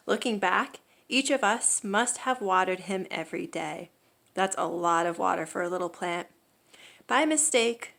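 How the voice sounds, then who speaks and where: A woman reads a picture book aloud for children. Her voice sits at a medium pitch, and she delivers the story expressively, leaning into key words like a storyteller. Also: vocal fry, fairly bright tone.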